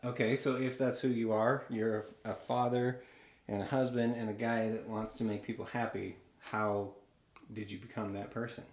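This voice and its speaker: A man hosting a casual interview podcast, relaxed and joking as he pushes his guest for deeper answers. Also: normal speed, echoey room. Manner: relaxed, joking, probing questions. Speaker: podcast host and bar owner.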